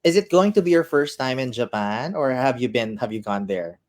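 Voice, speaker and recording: Friendly female host with halting questions. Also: very deep voice, expressive, a little mellow. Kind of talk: interview questions.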